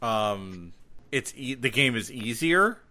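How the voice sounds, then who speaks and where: A man hosts a casual gaming podcast in a sharp, bright voice at an average pitch. His talk is slangy and excitable, and he doubles up words to stress them. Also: slow pace. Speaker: podcast host and game streamer.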